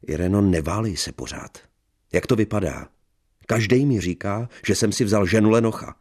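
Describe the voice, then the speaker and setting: A man voicing an angry, jealous young husband who shouts and scolds coarsely. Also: a bass voice, big pitch swings, husky, heavily acted. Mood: angry scolding.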